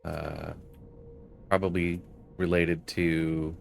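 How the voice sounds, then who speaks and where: A man's voice: low voice, little pitch movement, very slow, vocal fry, soft background music. A man giving casual, explanatory commentary as the host of a gaming video. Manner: explanatory, reads quest text aloud.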